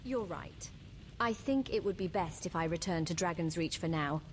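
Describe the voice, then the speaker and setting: Woman playing an expressive, guarded young adventurer daughter. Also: lowish voice, moderate pace, slight background noise. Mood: sad, composed. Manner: formal, earnest, expressive. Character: adventuring daughter, guarded, questioning, emotionally wounded. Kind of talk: fantasy game dialogue.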